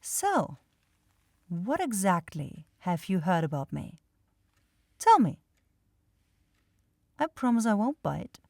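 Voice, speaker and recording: Woman as a slow-talking, teasing vampire loner girl. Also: mid-range voice, very animated, vocal fry, a little mellow, no room sound, heavily acted. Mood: curious, playful. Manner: dry, teasing, slow and deliberate. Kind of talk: ASMR roleplay addressed to the listener.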